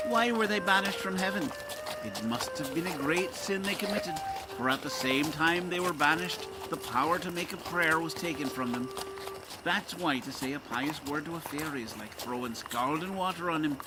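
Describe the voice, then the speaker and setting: A man's voice: a little high, animated, moderate pace, natural voice. A man playing a pious, curious and sly country priest with an Irish accent in an audio drama. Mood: pondering. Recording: music underneath.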